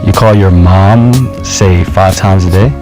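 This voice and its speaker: A man's voice: sits low, fairly even intonation, measured pace. Playful man with music underneath. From Jamaica. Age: 30s. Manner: playful, jokey, puts on voices when telling stories. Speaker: dating show contestant. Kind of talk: interview and casual date chat.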